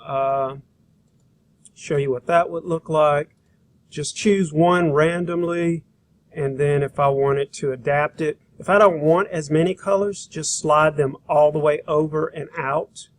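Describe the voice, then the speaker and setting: A man with a slightly high voice walks learners through a software demonstration. His delivery is informal and folksy, with a halting flow as he thinks aloud. He sounds like an instructor showing each step while he does it. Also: fairly even intonation, a little hiss or hum. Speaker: graphic design instructor.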